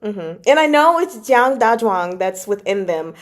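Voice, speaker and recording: Animated woman in unhurried fan chat. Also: mid-range voice. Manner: animated, gushing, slangy, drawn-out emphasis with dramatic asides. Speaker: book club host and reviewer. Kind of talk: casual fan chat recapping a novel.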